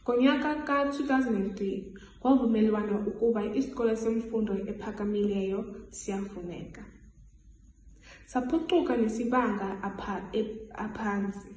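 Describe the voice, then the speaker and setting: A woman's voice: fairly high pitch, moderate pace, darkish tone. Teen schoolgirl in an echoey room. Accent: South African. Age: teens. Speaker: student presenter. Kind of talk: prepared school presentation.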